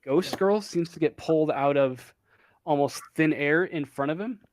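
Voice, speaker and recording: A man running a tabletop horror game as game master, narrating with many pauses and fillers. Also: on the high side, no room sound. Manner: halting, many ums and uhs. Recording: online voice chat.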